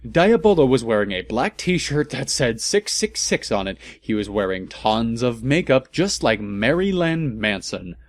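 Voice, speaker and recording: A man's voice: medium pitch, very animated, unhurried, few pauses. A man giving a comedic, theatrical and mock-serious reading of amateur fan fiction as its narrator. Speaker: narrator and commentator.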